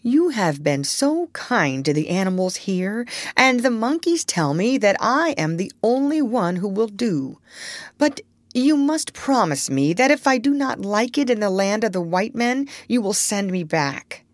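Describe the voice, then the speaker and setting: A woman's voice: mid-range voice, sing-song, unhurried, no room sound, clean studio sound, pitched above the performer's own voice. A woman voicing a shy, formal male two-headed antelope creature in a storybook reading, reluctant and earnest. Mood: cautious, agreeable.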